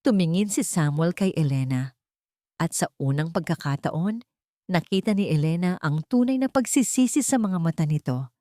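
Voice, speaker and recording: A woman narrating an audio drama in a steady, dramatic storytelling manner. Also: lowish voice, normal speed, dry recording, clean studio sound.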